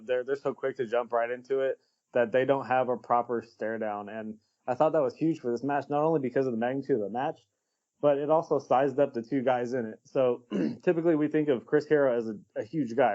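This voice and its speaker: A man with a medium-pitched voice talks through his opinions at an easy pace, blunt and offhand with casual curses. The podcast sound is a bit dull and lo-fi. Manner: relaxed, blunt, swears casually. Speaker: podcast co-host.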